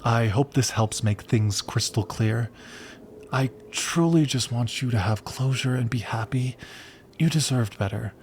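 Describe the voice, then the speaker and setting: A man's voice: mid-range voice, unhurried, a little hiss or hum, natural voice. A male actor plays an earnest young man with a mid-range voice, like a character in a narrative game. He talks in a rambling, conversational way, second-guessing himself and slipping into awkward, gossipy asides. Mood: earnest, joking. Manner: rambling, self-correcting, conversational.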